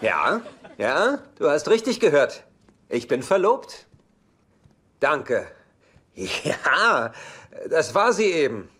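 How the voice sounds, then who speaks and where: An actor playing an evasive, glib engaged playboy in dubbed sitcom dialogue, wry and easily flustered. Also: male voice, fairly high pitch, very animated, slow pace, long pauses, sharp tone, slightly rough, exaggerated voice. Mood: flustered, polite.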